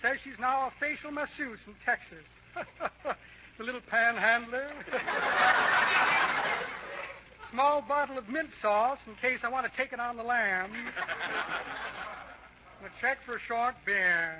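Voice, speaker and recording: A man's voice: very high voice, takes it slowly, long pauses. An actor playing a boastful, shifty magician and con man with pompous, florid patter in an old American radio comedy. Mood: rambling, evasive. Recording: muffled, hissy old-time radio recording.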